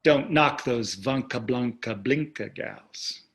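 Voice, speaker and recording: A man's voice: medium pitch, slow pace, vocal fry, fairly bright tone. A man playing a smug, callous wealthy husband in a staged play reading, sardonic and condescending. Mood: sardonic, taunting.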